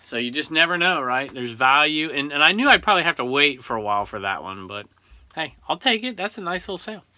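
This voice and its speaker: A man's voice: a little high, big pitch swings, fast, pushed and bright. A man in his forties with a Southern US accent, hosting a casual reselling vlog in a chatty, rambling way with frequent chuckles. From Southern California. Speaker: eBay reseller and show host.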